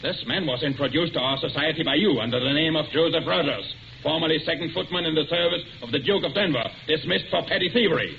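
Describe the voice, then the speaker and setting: Cold formal crime boss, 1940s radio villain, measured n menacing, higher man's voice, lots of hiss and noise. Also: creaky. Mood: commanding. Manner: measured, formal, coolly authoritative. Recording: muffled, very noisy old radio recording.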